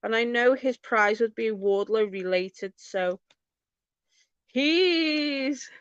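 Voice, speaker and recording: A woman's voice: a little high, slow pace, clear, resonant tone, no room sound, lo-fi sound. A woman with a Liverpool accent chatting casually to her viewers as a channel host, informal and sweary, addressing them by name. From Liverpool, England. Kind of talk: casual livestream chat with viewers.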